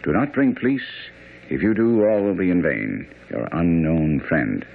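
An actor playing a brisk, self-assured Victorian gentleman detective in a radio drama, formal and commanding. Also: low voice, expressive, creaky, heavily acted. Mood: keen, brisk. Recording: tinny old radio broadcast, faint noise.

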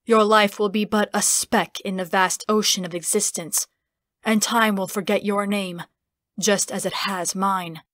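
A woman's voice: medium pitch, fairly even intonation, a little slow, fairly bright tone, no room sound, lightly acted. A woman plays an ancient godlike spirit, grave and aloof, with weary scorn. It is an imperious fantasy audiobook character, recorded close and dry. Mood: scornful.

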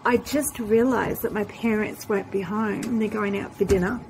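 A woman's voice: mid-range voice, fairly even intonation, normal speed, darkish tone, slight background noise, low-quality recording. A woman, chatting casually to a phone camera in her home kitchen, rambling with many fillers. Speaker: vlogger. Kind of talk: casual vlog talk. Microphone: handheld phone camera.

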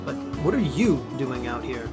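A man's voice: medium pitch, big pitch swings, normal speed. A man playing an earnest, wary and scrappy young ranger in a fantasy audio drama. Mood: wary, polite. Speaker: amateur voice actor. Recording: music behind the voice.

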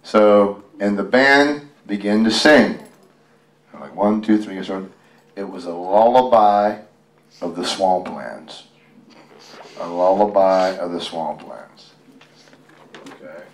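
A man with a fairly low voice reads a storybook aloud in a roomy, echoing space, picked up from a distance. He is casual and folksy, wandering off into chatty asides to his listeners. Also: takes it slowly, long pauses. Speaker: guest storyteller, tribute performer. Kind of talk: reading a picture book aloud with casual ad-libbed commentary. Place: public library reading event. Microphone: handheld microphone. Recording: roomy, echoey, distant microphone pickup.

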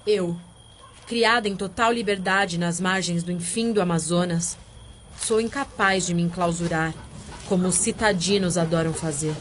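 An actress playing a brooding, free-spirited Amazonian sorceress, narrating an audio drama in a measured, literary manner. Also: female voice, lowish voice, steady tone, slight background noise, lightly acted. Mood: solemn, mysterious.

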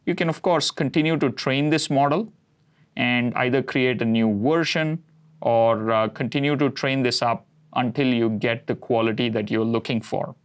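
A man in his forties whose first language is Konkani, presenting a cloud product demo in a steady, explanatory way with occasional fillers. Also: medium pitch, moderate pace, clear, resonant tone. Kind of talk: scripted tech demo narration. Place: studio set with map backdrop.

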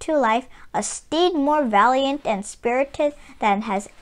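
A woman voicing a grave male warrior in a storybook read-aloud, earnest and deliberate. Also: high voice. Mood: solemn, urgent. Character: grave male warrior asking a painter for help.

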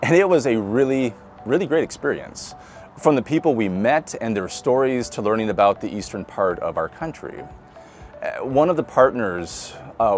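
Midwestern male pastor in his forties, animated delivery, conversational, light music under. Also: medium pitch. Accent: Midwestern American. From Nebraska. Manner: conversational, chuckles, repeats words when restarting. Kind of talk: online sermon to camera. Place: outdoors beside a brick building. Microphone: camera at close range.

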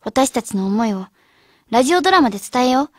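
A woman's voice: high voice, animated, a little fast, no echo. A woman acting as an earnest teenage schoolgirl who leads her club friends in a radio drama. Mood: determined.

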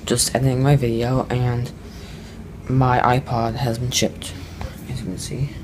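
A man's voice: mid-range voice, level tone, unhurried, noisy background. A teenage boy chatting casually to his camera at home, rambling with lots of ums and uhs. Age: teens. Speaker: vlogger. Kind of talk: casual vlog talk to camera.

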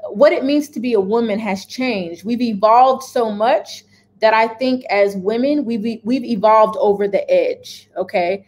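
A woman's voice: on the high side, unhurried, darkish tone. A woman in her thirties giving her views to camera from her home kitchen, direct and emphatic, often checking in with "okay?". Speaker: lifestyle vlogger. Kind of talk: casual opinion chat to camera.

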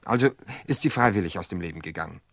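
An actor in a radio play voicing an insistent widower, probing and pressing for the truth. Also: male voice, deep voice, lively intonation, fast talker, a bit bright, dry recording, clean studio sound, heavily acted. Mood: insistent, accusing. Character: insistent grieving widower pressing his sister-in-law for the truth. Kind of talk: dramatic radio play acting.

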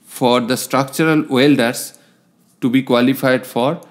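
A man with a mid-pitched voice narrates a technical lecture. He reads his points out like an instructor, explaining each one in a didactic way. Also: steady tone, unhurried. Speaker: instructor in welding inspection. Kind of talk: scripted lecture narration over slides.

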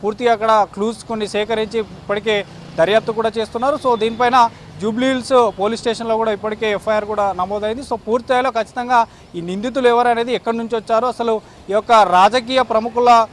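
Very high-pitched male reporter, halting, outdoors. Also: steady tone. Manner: halting, repetitive, with English words mixed in. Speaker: field news reporter. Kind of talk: unscripted live news report. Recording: faint outdoor street and traffic noise.

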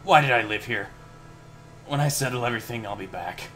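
A man's voice: medium pitch, fairly quick. A man with a sardonic, sweary manner, casually improvising a reluctant hero's lines over a video game. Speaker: player voicing the hero in a group game dub. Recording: game music behind the voice. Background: video game music.

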